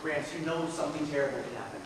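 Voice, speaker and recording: A man's voice: on the high side, expressive, quick pace, lightly acted. A man acting the part of an exasperated young grandson in an amateur stage play, with projected, emphatic delivery. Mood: pleading. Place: theater stage, distant recording. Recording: echoey noisy hall, recorded from far off.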